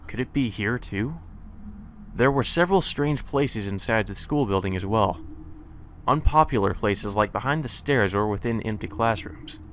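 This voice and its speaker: A man's voice: fairly low pitch, expressive, normal speed, slight background noise. A man reading a visual novel aloud as a let's player, mixing the game's text with casual asides.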